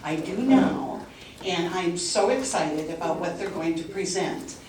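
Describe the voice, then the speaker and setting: A woman hosting a church event, giving a warm, enthusiastic introduction to the guest speakers. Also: on the low side, lively intonation, unhurried. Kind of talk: introduction speech. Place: church hall. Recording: echoey hall from far off, background noise.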